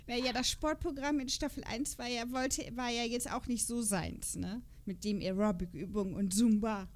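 A woman's voice: on the high side, steady tone, moderate pace. A woman with a German accent co-hosting a podcast in a casual, chatty and colloquial way. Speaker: podcast co-host.

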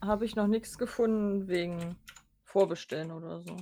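A woman's voice: lowish voice, normal speed, mellow, a little hiss or hum. A woman chatting casually as a podcast co-host. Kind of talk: casual chat.

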